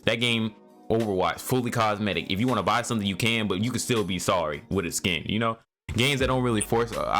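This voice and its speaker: Young male co-host: quick, rambling, slangy, low-pitched, soft music bed. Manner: rambling, restarts often, slangy. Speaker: podcast co-host. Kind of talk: casual chat.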